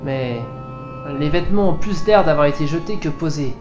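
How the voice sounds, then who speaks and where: A man's voice: on the high side, normal speed, close to an everyday voice. A man acting as a cautious spaceship investigator in a French science-fiction audio drama. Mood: focused, puzzled. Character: cautious male spaceship investigator, afraid of robots. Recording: echoey reverberant room, music behind. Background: music.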